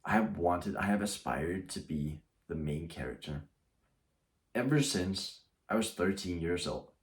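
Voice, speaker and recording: A man's voice: sits low, lively intonation, a little slow. A young man talking casually to camera as a YouTuber, rambling and often restarting his sentences.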